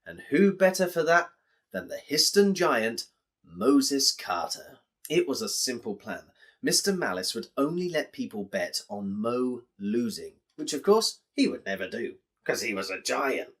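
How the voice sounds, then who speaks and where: Animated English storyteller with lively pitch. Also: male voice, measured pace, slightly rough. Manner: expressive, explanatory, addressing listeners directly.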